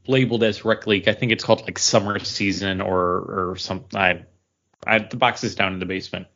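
A man's voice: on the low side, fairly even intonation, brisk, a bit bright. A man co-hosting a podcast, chatting casually in a rambling, easygoing way. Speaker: podcast co-host. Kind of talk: casual chat. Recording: dull lo-fi recording.

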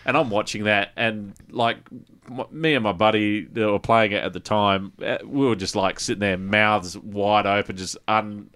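A man's voice: on the low side, fairly even intonation, normal speed, fairly bright tone. A man hosting a casual gaming podcast, talking in an enthusiastic, emphatic way. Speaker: podcast host.

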